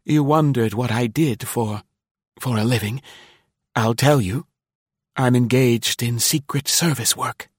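A man's voice: medium pitch, measured pace, breathy edge, dry recording, studio quality, voice pushed up. A man playing an ardent, defensive male spy who pleads with his lover in a dogged, melodramatic way. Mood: confiding.

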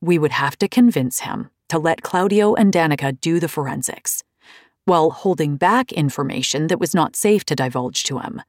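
A woman reading memoir narration in a measured, deliberate way. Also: sits low, lively intonation, dry recording, studio quality.

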